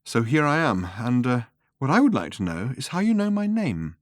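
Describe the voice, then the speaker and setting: Young Englishwoman, played by a male narrator, audiobook dialogue, brisk and politely guarded, dry studio-close sound. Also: medium pitch, sing-song, no echo, pitched above the performer's own voice, lightly acted. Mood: inquiring.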